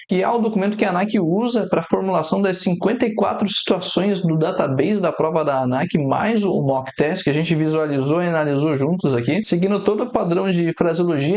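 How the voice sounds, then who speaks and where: A Brazilian man with a slightly higher voice walks through exam errors as an aviation English teacher. He explains step by step and slows down to quote radio phrases carefully. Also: a little mellow. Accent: Brazilian Portuguese, no fixed regional accent. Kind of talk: instructional explanation.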